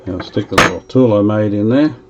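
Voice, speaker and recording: Lower-voiced man, casual hands-on workshop narrator who thinks aloud, dull lo-fi sound. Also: very noisy. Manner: thinks aloud while working, chatty and unhurried. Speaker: vintage radio restorer.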